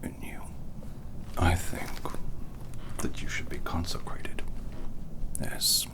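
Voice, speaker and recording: Man whispering as a slow, solemn vampire doctor. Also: close to an everyday voice. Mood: reverent, grandiose. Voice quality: breathy whisper. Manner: slow, ceremonial, hushed. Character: solemn, possessive vampire doctor, reverent and coldly tender. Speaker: ASMR roleplayer. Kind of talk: whispered ASMR roleplay.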